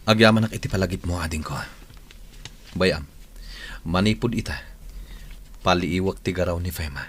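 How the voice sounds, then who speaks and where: A man's voice: sits low, normal speed, a little hiss or hum, close to an everyday voice. A man with an Ilocano accent playing a troubled office worker and protective older brother in a radio drama. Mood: concerned.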